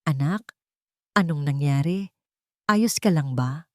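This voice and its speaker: A woman voicing a sorrowful, guilt-ridden mother in a story, speaking haltingly. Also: low voice, lively intonation, no room sound, studio quality. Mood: worried.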